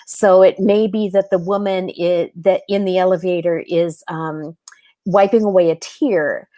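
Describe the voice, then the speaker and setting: Woman, slightly low voice, dry recording. Thoughtful, earnest interview guest who explains things conversationally. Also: fairly even intonation, unhurried, mellow, no echo.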